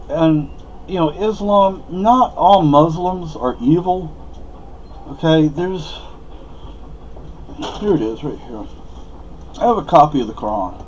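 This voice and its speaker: A man's voice: fairly high pitch, darkish tone, slight background noise. Rambling Spanish-born man, lo-fi recording. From Spain. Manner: rambling, halting, frequent fillers and restarts. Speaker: vlogger. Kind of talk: opinionated off-the-cuff monologue.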